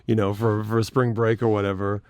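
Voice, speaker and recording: A man's voice: fairly low pitch, level tone, brisk, a little mellow, clean tone, no echo. A man hosting a radio show, chatting casually in a studio, laughing easily and telling stories.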